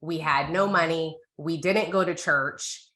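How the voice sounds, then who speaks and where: Bright American woman in an echoey room. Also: low-pitched, a little slow. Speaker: co-host of a women's Bible reading group. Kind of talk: candid, casual personal testimony.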